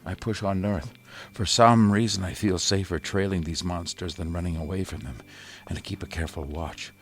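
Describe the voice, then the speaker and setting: Deep-voiced, haunted professor in radio drama. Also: male voice, steady tone. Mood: wary, haunted. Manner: grave, halting, brooding. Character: exhausted, haunted male astronomy professor surviving an alien invasion. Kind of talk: 1930s radio drama narration, theatrical monologue.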